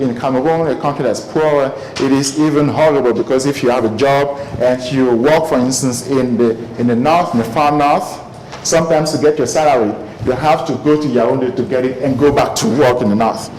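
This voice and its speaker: A man's voice: medium pitch, a little mellow, slight background noise. Hesitant man lecturing in an echoey room. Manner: thoughtful, hesitant, many fillers and restarts. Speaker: speaker addressing an audience. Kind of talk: lecture-style talk to a live audience.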